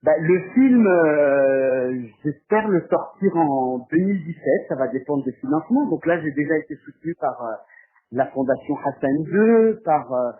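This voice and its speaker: Guy doing interview answers, raspy-ish voice, keeps stalling and repeating words, sounds like its coming down a phone line. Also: a little high, expressive. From France. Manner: halting, hesitant, frequently repeats words while searching for phrasing. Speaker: documentary filmmaker being interviewed. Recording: muffled, phone-like narrow band.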